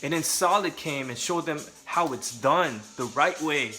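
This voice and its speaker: A man's voice: fairly high pitch, moderate pace, sharp tone, soft background music. A young adult man from California, talking casually and candidly to camera with joking asides. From Southern California. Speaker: vlogger.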